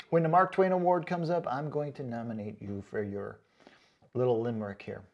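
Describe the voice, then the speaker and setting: A man's voice: mid-range voice, fairly even intonation, normal speed, lo-fi sound. A man in a casual comedic rant, reading out comments as an online host, sarcastic, mocking and freely swearing, with emphatic delivery. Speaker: online boot review video host.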